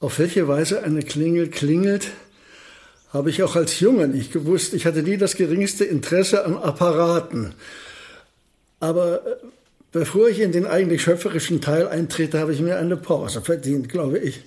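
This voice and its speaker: An older actor in a German radio play playing a polite, wry, absent-minded elderly physics professor. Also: male voice, fairly high pitch, normal speed, a little mellow, lightly acted.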